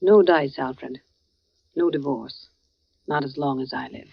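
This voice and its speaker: A woman's voice: very deep voice, a little slow, gravelly, breathy edge, dry recording, heavily acted. A glamorous stage diva of 1940s radio drama, arch and bitter. She drawls her endearments with theatrical, world-weary wit. The sound is dull and close, like an old broadcast. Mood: mocking, languid.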